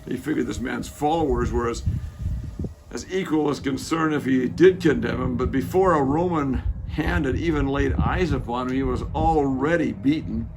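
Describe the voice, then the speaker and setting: A man playing a reflective, doubting Roman soldier who is proud of his rank, speaking in a measured, halting way in a dramatic reading. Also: medium pitch, background noise, close to an everyday voice. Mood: pensive. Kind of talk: dramatic reading of a church passion play.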